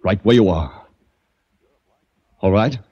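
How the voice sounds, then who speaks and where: Low, menacing radio gunman. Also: slow pace, mellow, slightly rough. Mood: threatening. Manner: barks short orders. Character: menacing gunman villain, commanding and cold. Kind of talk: 1940s radio drama acting. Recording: muffled old-time radio recording.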